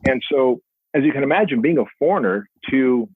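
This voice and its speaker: A man's voice: mid-range voice, animated, fairly quick, breathy edge, no echo. A man in his forties who grew up speaking Armenian, telling his life story earnestly as a podcast guest. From Soviet Union, Armenian family. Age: 40s. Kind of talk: storytelling interview. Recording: dry tinny phone-like line.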